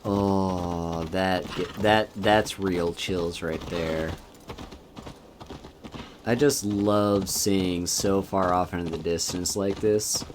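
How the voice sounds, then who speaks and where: A man streaming a video game, chatting casually in a relaxed, soft-spoken and musing way as he plays. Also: deep voice, drawn-out words, noisy background. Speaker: VTuber streamer. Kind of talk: casual gameplay commentary. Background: game music.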